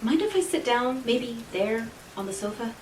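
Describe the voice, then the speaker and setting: A woman's voice: a little high, expressive, roomy sound, slight background noise, natural voice, low-quality recording. A woman playing an enigmatic, devilish stranger in a roleplay audio drama, speaking coyly and theatrically to the listener with teasing condescension. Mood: coyly friendly. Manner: coy, deliberate, theatrical, talking directly to the listener.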